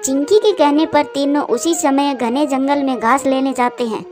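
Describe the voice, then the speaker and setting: A woman's voice: high-pitched, normal speed, a little mellow, faint music behind. A woman reading scripted narration for a children's moral-story cartoon.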